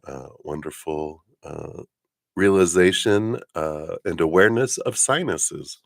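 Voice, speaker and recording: A man with a very deep voice hosts a casual spiritual livestream in a close, dry sound. He talks in a giddy, effusive manner, easing between friendly chat and gentle meditation guidance. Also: expressive, slow, gravelly, no echo. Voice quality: low morning voice. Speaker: live stream spiritual reader and host.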